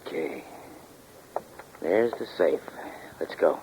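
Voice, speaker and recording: An actor playing a methodical, bossy burglar with clipped orders in a 1940s radio crime drama. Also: male voice, deep voice, expressive, slow pace. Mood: hushed, businesslike. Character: methodical, bossy male burglar, impatient planner. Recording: dull, noisy vintage radio recording.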